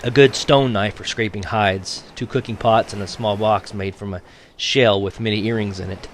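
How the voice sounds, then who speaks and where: A man reading a novel aloud as a teacher would for his class, in an expressive audiobook style. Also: fairly low pitch, normal speed, slight background noise.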